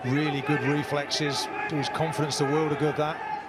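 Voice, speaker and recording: A man's voice: fairly high pitch, level tone, normal speed, very noisy. A man doing enthusiastic live football commentary in a broadcast clip. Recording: broadcast clip over loud crowd. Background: loud stadium crowd.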